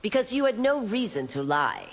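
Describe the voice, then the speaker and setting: A stern constable, reverberant. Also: female voice, a little high, very animated, moderate pace. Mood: firm, grave. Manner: formal, firm, terse. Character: stern, dutiful female police constable of fantasy realm. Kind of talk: scripted fighting game dialogue. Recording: long reverb with ambient noise.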